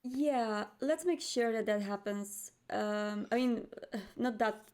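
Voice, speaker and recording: Mellow, higher-pitched woman at a game. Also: takes it slowly. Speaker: player in a tabletop roleplaying game. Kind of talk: casual game talk.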